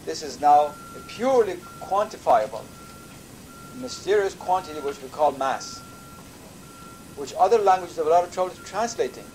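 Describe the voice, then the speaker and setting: An older man, a native Persian speaker from Iran, giving a measured university lecture with thoughtful pauses. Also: fairly high pitch, expressive, darkish tone, low-quality recording. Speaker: professor. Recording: lecture recording with audible background noise.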